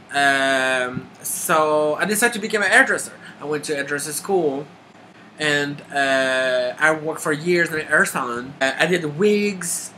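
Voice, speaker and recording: Quebec man, thirties, bright crisp voice, stop-start delivery, faint hiss behind. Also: a little high, low-quality recording. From Quebec, Canada. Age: 30s. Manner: halting, many restarts and filler. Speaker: makeup artist auditioning. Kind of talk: casual self-introduction to camera.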